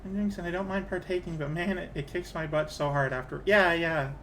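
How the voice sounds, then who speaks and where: A chatty man talks casually while he plays a game, wandering from thought to thought and restarting sentences. His voice sits a bit high for a man. A faint hiss runs underneath. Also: steady tone, brisk, fairly bright tone. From Canada. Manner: rambling, chatty, restarts a lot. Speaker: streamer. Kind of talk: casual chat while gaming.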